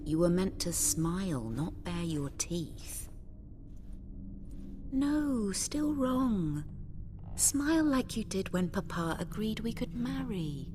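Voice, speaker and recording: A woman playing a tender but exasperated young noble wife in a video game, speaking softly and with measured care. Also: medium pitch, big pitch swings, noisy background. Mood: gently coaxing. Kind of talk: dramatic video game acting.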